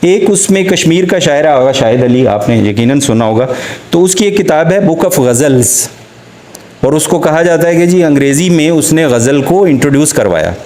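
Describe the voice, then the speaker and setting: A man with a Pakistani accent giving an academic literature lecture, haltingly, reading out lines of poetry slowly and explaining them. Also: a little high, animated, darkish tone. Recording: roomy, echoing sound.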